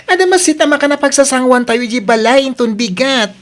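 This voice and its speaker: A man's voice: extremely high pitch, lively intonation, brisk. A man with an Ilocano accent playing an eager, boastful young nephew in a radio comedy-drama. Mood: excited.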